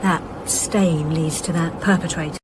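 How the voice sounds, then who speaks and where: An actor playing a female detective chief inspector in a radio drama, questioning in a measured, politely sceptical way. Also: low-pitched, steady tone, noisy background. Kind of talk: naturalistic radio drama acting.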